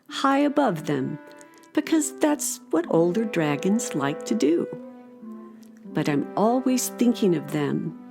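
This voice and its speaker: A kindly older female dragon for a children's radio play. Her delivery is slow and tender, rising and falling expressively, with music underneath. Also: on the low side, creaky, heavily acted. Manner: tender, earnest storyteller. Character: gentle, caring older female winged dragon.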